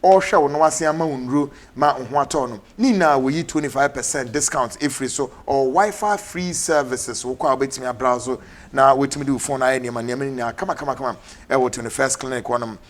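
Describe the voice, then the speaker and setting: A man with a Ghanaian English accent hosting a TV talk show, mixing English and Twi in brisk announcements. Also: medium pitch. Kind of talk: TV political talk show, closing with ads. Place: TV studio.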